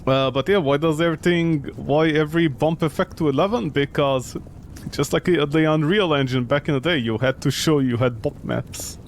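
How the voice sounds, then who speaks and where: A man's voice: a little high, normal speed, slight background noise. A man giving casual, sarcastic and incredulous commentary as he streams a video game.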